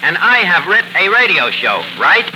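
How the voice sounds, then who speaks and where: A man's voice: sits high, very animated, moderate pace, over loud music. An actor playing a bumbling, cocky male tavern manager with a New York accent, wisecracking and mangling words in a 1940s radio comedy. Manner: wisecracking, self-important malapropisms. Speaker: comedy show lead. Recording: noisy 1940s radio broadcast recording.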